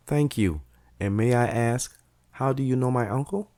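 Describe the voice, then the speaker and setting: A man's voice: mid-range voice, moderate pace, mellow, close to an everyday voice. A man playing a determined young heir caught in intrigue, speaking plainly and directly.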